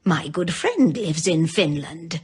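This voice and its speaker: A woman voicing a kindly, soothing old woman of the far north in a children's fairy tale, with a husky voice. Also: sits low, animated, slow, darkish tone, heavily acted. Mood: kindly, reassuring. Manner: soothing, motherly. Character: kindly old Lapland woman, gentle and pitying.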